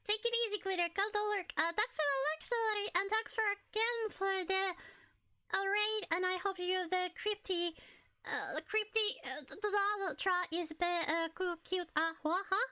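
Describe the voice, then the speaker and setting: A woman's voice: extremely high pitch, moderate pace, bright, forceful tone. A woman from Finland with a Finnish accent, chatting casually and giggling as a live streamer, often stumbling over her words.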